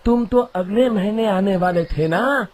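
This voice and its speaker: A man voicing a simple, trusting elder brother in a cartoon village story, with a husky voice. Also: sits high, measured pace, a little hiss or hum. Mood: surprised, curious. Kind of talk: Hindi moral-story cartoon acting.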